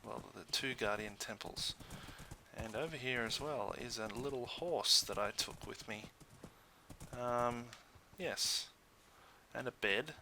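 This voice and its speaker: A man's voice: medium pitch, slow, bright, forceful tone, a little hiss or hum, low-quality recording. A man hosting a gaming video with casual commentary, hesitant and thinking aloud as he goes.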